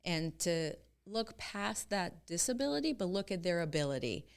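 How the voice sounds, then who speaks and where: A middle-aged woman from Israel, speaking as a guest in a relaxed interview. Her voice sits on the low side and comes through smooth and clear. She talks earnestly and at a measured pace. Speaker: guest, company co-founder. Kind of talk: casual interview talk.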